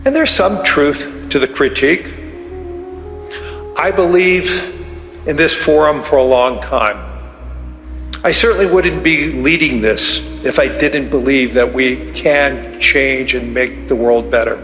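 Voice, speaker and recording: A man delivering a formal prepared address in a measured, earnest way. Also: medium pitch, a bit bright. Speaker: event leader giving opening address. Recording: echoey hall reverb, music underneath.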